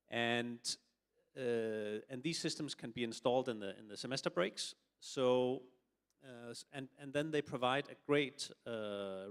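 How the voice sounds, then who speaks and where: Man from Denmark, middle-aged, hesitant, lots of ums, forum panelist. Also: mid-range voice. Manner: hesitant, many filler sounds. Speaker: sustainable building consultant, forum panelist. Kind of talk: panel discussion answer.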